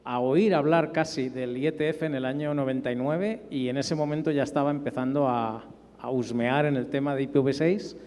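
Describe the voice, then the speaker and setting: A mellow, dark-toned middle-aged man with an accent from Spain. He shares recollections informally as a panelist, his voice carrying a long hall reverb. The pitch is ordinary for a man. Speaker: conference panelist.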